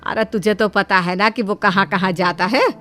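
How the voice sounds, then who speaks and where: A woman voicing an exasperated, bossy older mother-in-law in an animated story, scolding and emphatic. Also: medium pitch, normal speed, soft background music, lightly acted. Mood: firm, scolding.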